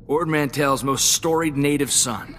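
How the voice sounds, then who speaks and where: A man's voice: fairly high pitch, steady tone, measured pace, a bit bright, a little hiss or hum. A man playing an earnest, loyal young sidekick in a space-adventure game, talking in a plainspoken, folksy, reflective way. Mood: humble, sincere. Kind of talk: video game cutscene dialogue.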